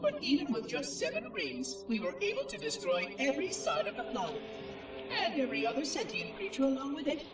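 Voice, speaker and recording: High, fretful, lonely machine caretaker. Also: male voice, wildly swooping pitch, a little fast, full character voice. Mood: clinical, grave. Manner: prim, precise, musing to itself in formal speech. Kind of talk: theatrical game cutscene monologue. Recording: echoey, distant, loud music behind. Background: loud music.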